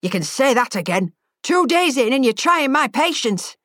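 A woman's voice: high voice, big pitch swings, normal speed, fairly bright tone, dry recording, clean studio sound, pitched above the performer's own voice, full character voice. A woman voicing a burly, grumbling middle-aged male chef who mutters his complaints. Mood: impatient.

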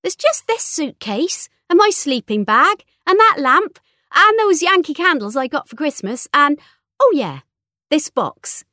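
A cheeky teenage girl, acted by a woman narrator, breezy and childishly wheedling. Her pitch sits high and swoops freely, in a close, dry audiobook recording. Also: very animated, unhurried, sharp tone, dry recording, full character voice. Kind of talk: audiobook character dialogue.

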